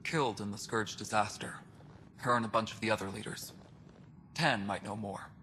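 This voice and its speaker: A man playing a gruff, world-weary alien station superintendent in a video game, speaking bluntly with dry sarcasm. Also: lowish voice, steady tone, normal speed, pushed and bright, slight background noise. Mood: grim, sardonic. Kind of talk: video game cutscene dialogue.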